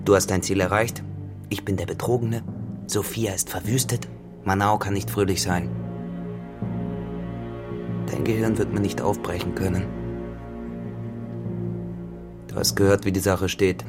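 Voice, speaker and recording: An actor playing a cynical, dissolute farmhand turned tenant in a literary radio drama, grave and deliberate in manner. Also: male voice, deep voice, fairly even intonation, over loud music. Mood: insistent, threatening.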